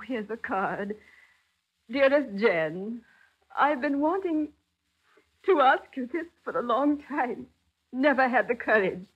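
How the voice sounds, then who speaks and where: A woman's voice: high-pitched, husky, full character voice. An actress playing a scatterbrained, sentimental society charity lady, fluttery and rambling, in a 1940s radio drama. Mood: wistful, overcome. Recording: muffled 1940s radio recording.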